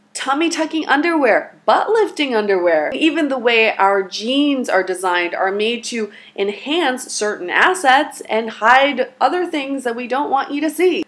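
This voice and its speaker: A woman's voice: mid-range voice, a bit bright. A young woman from China, a native Mandarin speaker, giving dating advice in a chatty, sarcastic, long-winded vlog. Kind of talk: casual direct-to-camera vlog.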